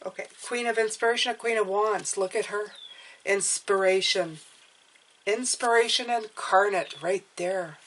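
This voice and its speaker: A woman with a stuffed-up, nasal voice talks through a tarot spread in a relaxed, encouraging way, often pausing to ask "okay?". Her pitch sits in an ordinary middle range, with a faint hiss of noise behind her. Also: low-quality recording. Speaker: tarot reader. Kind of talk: casual tarot reading monologue.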